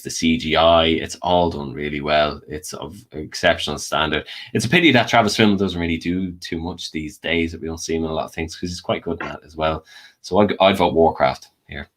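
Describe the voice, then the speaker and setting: A man with a low-pitched voice talks casually as a guest panelist. His delivery is informal, conversational and suited to a friendly debate. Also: moderate pace, fairly bright tone. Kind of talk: casual film debate chat.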